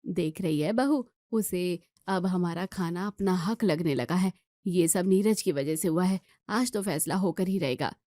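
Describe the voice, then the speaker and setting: A woman's voice: on the low side, unhurried, hardly any pauses, mellow, no echo, close to an everyday voice. A woman plays an older Indian mother-in-law in a family storybook drama. She grumbles with matronly concern and disapproval. The recording is dry and close. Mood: stern. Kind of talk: acted Hindi family story dialogue.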